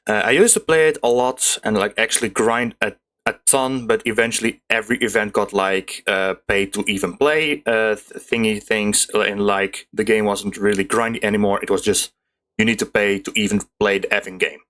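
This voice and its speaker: A man's voice: on the low side, steady tone, normal speed, a bit bright. A young man giving casual let's play commentary, rambling informally and correcting himself as he goes. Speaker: gaming video host. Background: game music.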